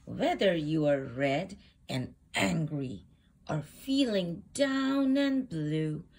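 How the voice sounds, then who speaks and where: A woman reads a children's storybook aloud in a fairly low voice. Her delivery is very animated and unhurried, shaping each phrase deliberately for small listeners. She sounds like a host presenting a picture book.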